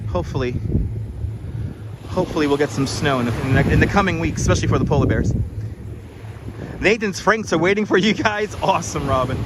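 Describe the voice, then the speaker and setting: A man hosting a relaxed walking livestream outdoors, chatting easily and greeting viewers by name. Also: on the high side. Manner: easygoing, greets viewers by name, chuckles often. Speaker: livestream host. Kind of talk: casual walking livestream chat. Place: outdoors on a windy beach boardwalk. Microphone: wireless clip-on mic. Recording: wind noise on outdoor mic. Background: wind and crashing waves.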